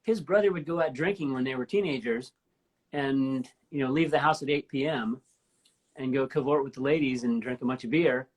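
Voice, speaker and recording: A woman in her fifties with a deep voice, hosting a casual live-stream chat and telling stories with enthusiasm. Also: fairly quick, breathy edge, poor audio. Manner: enthusiastic, rambling storyteller. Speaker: host. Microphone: live stream from home.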